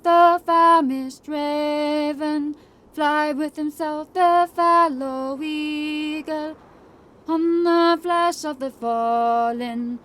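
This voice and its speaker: High, slow Norse woman reciting funeral verse, acted. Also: fairly bright tone, heavily acted. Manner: cold, slow, incantatory. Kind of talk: solemn verse recitation in an audiobook.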